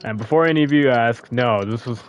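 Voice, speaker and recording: A man's voice: medium pitch, brisk, dull audio. A man giving casual gameplay commentary, rambling and thinking aloud as he plays. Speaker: gaming video creator. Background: game music.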